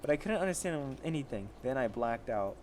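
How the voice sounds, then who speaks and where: A man's voice: medium pitch, expressive, fairly quick, a little mellow. A man casually reading fan fiction aloud as an amateur story reader, stumbling over the text and correcting himself.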